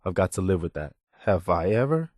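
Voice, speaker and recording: A man playing an earnest, loyal militia officer in a video game, speaking in a sincere, halting, conversational way. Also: sits low, very animated, darkish tone, no echo, clean studio sound. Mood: somber, regretful. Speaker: game character. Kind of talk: video game dialogue acting.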